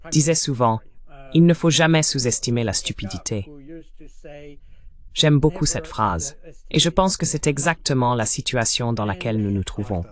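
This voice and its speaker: A man delivering a translated voice-over of a political interview as the guest analyst, in a measured, explanatory way. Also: medium pitch.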